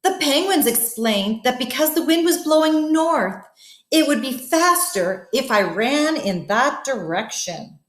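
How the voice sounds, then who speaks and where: A woman with a fairly high voice reads a children's bedtime story at an unhurried pace. She speaks expressively and directly to young listeners. The room sounds noticeably echoey. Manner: addresses children, expressive, asks wondering questions. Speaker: guest bedtime story reader. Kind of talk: read-aloud storytelling for children.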